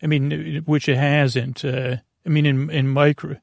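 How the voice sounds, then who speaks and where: A man hosting a bedtime podcast in a meandering monologue, halting and digressive, often trailing off and restarting. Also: on the high side, flat delivery, no echo, studio quality. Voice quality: creaky, gravelly. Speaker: podcast host.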